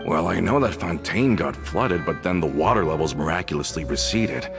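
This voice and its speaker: An actor playing a tough, loyal gang member back from prison, informal and emotionally expressive, in a video game. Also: male voice, extremely low pitch, normal speed, over music. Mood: puzzled. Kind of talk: video game dialogue acting.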